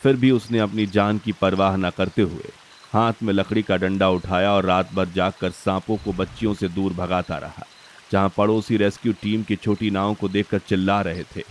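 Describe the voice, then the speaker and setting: A man's voice: deep voice, flat delivery, moderate pace, a little hiss or hum. A man reading a scripted, dramatic story narration.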